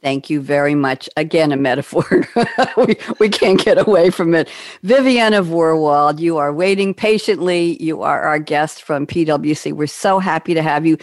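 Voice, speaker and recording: A woman's voice: low-pitched, normal speed, no room sound. A woman hosting a podcast interview, introducing guests with effusive praise. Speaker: host.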